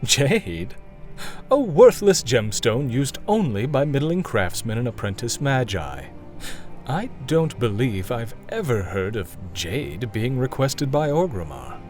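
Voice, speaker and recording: A man's voice: mid-range voice, big pitch swings, slow, darkish tone, faint music behind. A man playing a proud, defensive blood elf archmage in an audio drama, haughty and formal in speech. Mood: disdainful.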